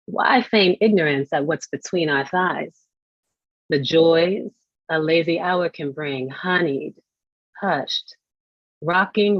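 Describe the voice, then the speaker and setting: Female poetry reader with a deep, unhurried delivery and lingering phrases; dull recording. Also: breathy edge, no room sound. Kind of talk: slow poetry reading. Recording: dry but dull, lo-fi audio.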